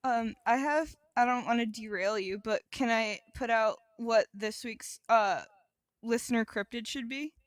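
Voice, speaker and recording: A woman with a fairly high voice chats casually as a podcast co-host. She rambles and jokes, drifting into tangents. The sound is dry, with very little room to it. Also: unhurried, no echo. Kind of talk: casual, joking podcast chat.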